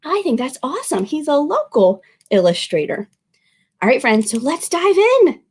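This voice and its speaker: A woman speaking as a children's librarian at storytime, expressive and addressing young listeners directly. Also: sits high, normal speed. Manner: animated, expressive, with exclamations and questions to young listeners. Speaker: children's librarian reading aloud. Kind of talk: storytime picture-book reading and friendly address to children.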